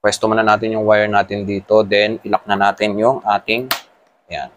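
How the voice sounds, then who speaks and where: A man's voice: lowish voice, little pitch movement, moderate pace, muffled recording, slight background noise. A man with a Filipino accent giving a casual hands-on tutorial as a motovlogger, explaining step by step. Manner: explanatory, mixing Tagalog with English terms.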